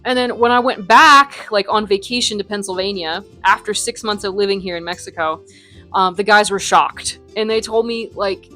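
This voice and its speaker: A woman's voice: medium pitch, normal speed, bright, forceful tone, faint music behind. A young adult woman from the United States, a Spanish teacher who runs her academy, answering interview questions in a chatty way full of ums and likes.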